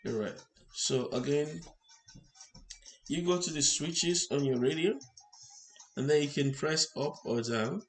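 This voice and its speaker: A man presenting an unscripted hands-on electronics demonstration, explaining step by step in halting short phrases. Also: fairly high pitch, faint music behind. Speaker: tutorial presenter. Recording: clear background noise.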